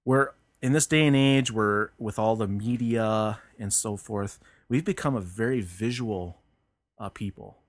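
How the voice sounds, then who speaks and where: A man's voice: fairly low pitch, a little slow, no room sound. A man hosting a podcast, talking earnestly and reflectively about faith, with frequent restarts and fillers. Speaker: podcast host.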